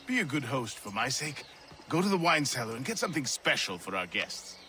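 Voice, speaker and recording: An actor playing an ailing, courteous Templar gentleman in a video game, formal and measured, with a weakened voice. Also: medium pitch, big pitch swings, close to an everyday voice. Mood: courtly, weary. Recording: game cutscene with music behind.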